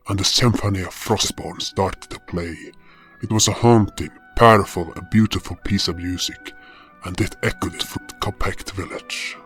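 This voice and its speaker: A man's voice: sits low, a bit bright, slightly rough, heavily acted. A man playing a solemn, powerful guardian hero who narrates his journey in a fantasy audio drama. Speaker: narrator and lead actor. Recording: music behind the voice. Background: music.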